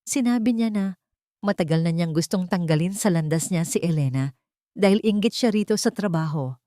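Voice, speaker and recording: A woman voicing a frightened woman in her thirties who confesses in a halting, trembling voice. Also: lowish voice, dark tone, no room sound, clean studio sound, lightly acted. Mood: fearful.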